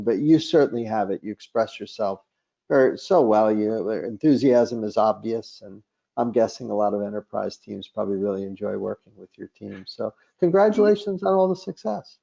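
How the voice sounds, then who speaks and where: An older man hosts the interview, posing questions in a gravelly, mellow voice. He speaks in a friendly, complimentary way. The sound is dull and lo-fi. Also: on the low side, normal speed. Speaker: interview host. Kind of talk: interview hosting. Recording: muffled lo-fi audio.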